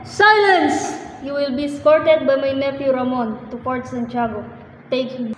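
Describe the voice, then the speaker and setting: A woman's voice: high-pitched, unhurried, heavy background noise. A young woman with a Filipino accent acting a formal, curt colonial governor in a student radio drama. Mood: commanding. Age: young adult. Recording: echoey hall, loud music behind.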